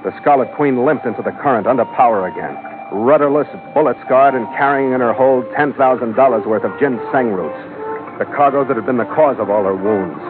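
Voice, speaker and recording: A man's voice: medium pitch, fairly quick, natural voice. An American man playing a weary, sardonic ship master in a 1940s radio adventure, with dry, clipped, hard-boiled delivery. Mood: weary, brooding. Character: weary, sardonic male ship master narrating his voyage log. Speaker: narrator and lead actor. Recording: muffled vintage radio broadcast, loud music.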